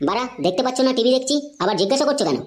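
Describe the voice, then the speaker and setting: A cheeky, mouthy little boy from a Bengali cartoon, acted by a woman. The delivery is rapid, suspicious and full of slang. Noise is clearly heard behind the voice. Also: on the high side, animated, natural voice. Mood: insolent. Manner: challenging, slangy, insolent. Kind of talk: Bengali comedy cartoon dubbing.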